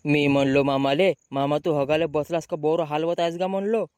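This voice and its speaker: A man's voice: on the high side, fairly even intonation, normal speed, a little mellow, clean tone, dry recording, pitched below the performer's own voice, lightly acted. A man voicing a young village lad in a comedy cartoon, telling silly mishaps in an earthy rural Maharashtrian accent. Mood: comic storytelling.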